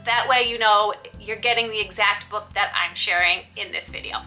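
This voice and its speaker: A woman hosting a scripted, upbeat book review for teachers, speaking in a clear presenter style and stressing key descriptive words. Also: medium pitch, normal speed, bright, forceful tone, faint music behind, rough recording.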